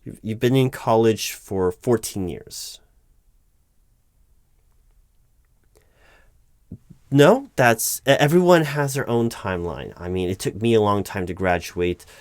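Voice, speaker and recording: A man playing a patient but baffled corporate recruiting manager in a one-sided interview roleplay, speaking in a halting, stammering, diplomatic way. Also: on the low side, animated, no echo, studio quality, lightly acted. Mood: diplomatic, puzzled.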